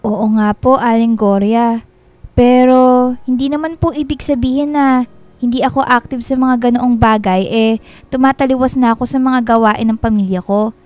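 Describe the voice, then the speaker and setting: Sweet, polite, high-voiced young woman played by an actress. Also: fairly even intonation, normal speed, no echo, lightly acted. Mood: shy, polite. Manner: respectful, soft-hearted, hesitant. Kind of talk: Filipino radio drama acting.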